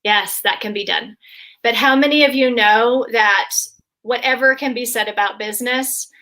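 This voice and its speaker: A woman's voice: on the high side, moderate pace, poor audio. Upbeat woman hosting a video call. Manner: enthusiastic, warm praise of guests. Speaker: host. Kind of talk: casual video call panel.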